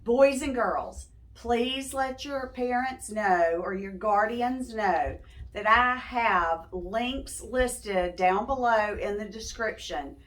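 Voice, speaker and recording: A woman's voice: on the high side, slow. A woman reading a story aloud to young children as a host, stopping in a teacherly way to prompt them with simple questions.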